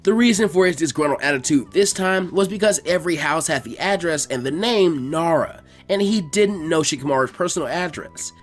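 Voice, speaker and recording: A man reading a story aloud as an expressive amateur narrator. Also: on the high side, a little fast, hardly any pauses. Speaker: fan fiction reader. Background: music.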